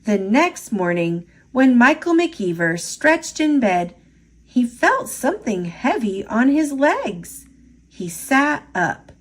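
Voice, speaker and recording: A woman reading a children's picture book aloud in an expressive storytelling style. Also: high voice. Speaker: storybook reader.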